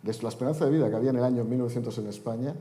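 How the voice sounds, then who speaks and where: A man's voice: medium pitch, racing, mellow. A man in his fifties speaking on a conference panel, delivering an oratorical talk with wry, joking asides. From Spain. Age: 50s.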